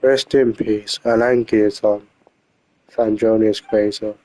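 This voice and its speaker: A man's voice: on the low side, flat delivery, unhurried, warm tone, low-quality recording. A man giving sparse commentary as he plays through a game's story. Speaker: gamer reacting to a game story. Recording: background noise and clicks.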